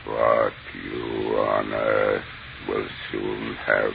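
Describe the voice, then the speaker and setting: An actor playing an ancient, contemptuous, hungry alien mind with a menacing, imperious delivery in a 1930s radio horror drama. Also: male voice, very deep voice, monotone, very slow, gravelly, exaggerated voice. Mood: imperious contempt. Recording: tinny, noisy 1930s radio recording.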